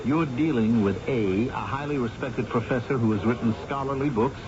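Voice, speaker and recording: A man playing a persuasive, informal newspaper reporter in an old-time radio drama, with an insistent pitchman's way of talking. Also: moderate pace, a crowd in the background, lightly acted. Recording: dull old radio recording.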